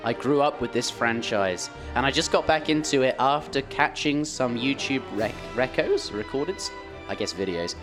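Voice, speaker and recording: A man's voice: mid-range voice, expressive, normal speed, hardly any pauses, music in the background. A man casting a live esports match in a studio, chatty, his voice strained after days of commentary. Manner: chatty, reads viewer messages aloud. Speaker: esports caster.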